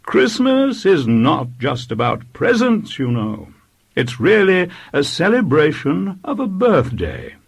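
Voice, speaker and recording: A man acts out a jovial vicar from a storybook, solicitous and easily exasperated. The delivery is slow and deliberate, with big swoops in pitch. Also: wildly swooping pitch, creaky, husky, exaggerated voice. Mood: kindly, earnest. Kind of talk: storybook reading.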